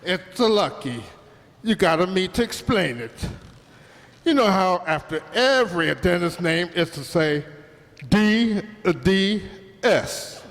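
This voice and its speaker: A man's voice: sits high, lively intonation, slow pace, big-room sound, full character voice. A man playing a pushy, scheming Italian immigrant restaurant owner in an old-time radio comedy, exclaiming and wheedling in broken English with an Italian accent. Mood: persuasive.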